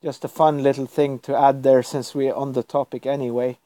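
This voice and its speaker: A man's voice: mid-range voice, little pitch movement, brisk, mellow, no echo. A man from Norway, chatting casually on a live stream at his computer, rambling and chuckling often. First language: Norwegian. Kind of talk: casual livestream chat.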